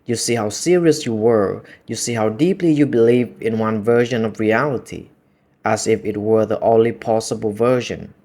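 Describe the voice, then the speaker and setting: Low-ish calm guy w a vietnamese accent, slow thoughtful musing. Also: husky. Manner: calm, measured, contemplative. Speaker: video essay host. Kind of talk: reflective monologue.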